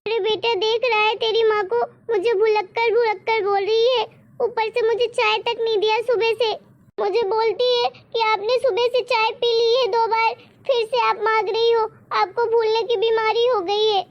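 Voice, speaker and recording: A woman plays a cranky, forgetful grandmother in a family skit. Her voice is extremely high and shrill, and she whines and accuses in every line. She makes demands in a piercing tone. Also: level tone, normal speed, dull audio. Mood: complaining. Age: over 60. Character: forgetful, stubborn old grandmother, complaining.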